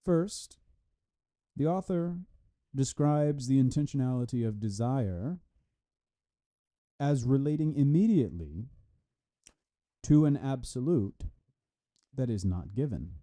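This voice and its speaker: Hombre de voz muy oscura y apagada. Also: male voice, medium pitch, expressive, slow pace, pauses often, warm tone. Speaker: reader of a philosophy article. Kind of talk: read-aloud scholarly text with brief explanatory asides.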